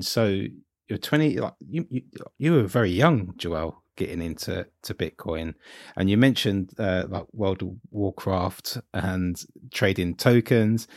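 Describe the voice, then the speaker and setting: A man's voice: low-pitched, darkish tone, no room sound, clean studio sound. A man hosting a podcast, asking casual questions in a friendly, hesitant way. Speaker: podcast host.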